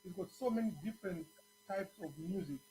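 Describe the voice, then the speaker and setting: A man's voice: sits high, brisk, mellow, low-quality recording. A man with a Zambian accent hosting a casual radio interview, repeating words as he builds his point. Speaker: radio show host and DJ. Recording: faint electrical hum and noise.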